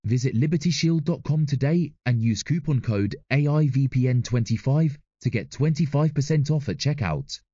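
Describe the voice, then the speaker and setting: A man reading a scripted advertisement in an upbeat sales-pitch manner. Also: lively intonation, moderate pace, hardly any pauses, warm tone, no echo, dull audio. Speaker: ad reader. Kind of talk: read advertisement.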